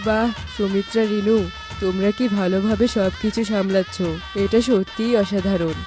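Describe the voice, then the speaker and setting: A woman's voice: mid-range voice, fairly even intonation, normal speed, lightly acted. Woman doing an elderly auntie full of approval in a cartoon story, mid voice, music under. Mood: approving. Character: approving elderly aunt, blessing and praising. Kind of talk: animated moral-story dialogue. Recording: music behind the voice.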